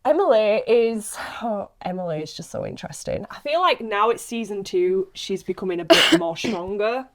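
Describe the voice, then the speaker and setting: A woman's voice: medium pitch, expressive, moderate pace, hardly any pauses. A woman in her late twenties, an actress chatting casually on a podcast in a giggly, self-deprecating way. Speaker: actress being interviewed.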